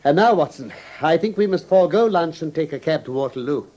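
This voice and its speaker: An actor playing a shrewd, drily witty gentleman detective with precise, urbane Received Pronunciation, in a classic radio drama. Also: on the high side, expressive, fairly quick. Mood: brisk, purposeful. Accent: English Received Pronunciation.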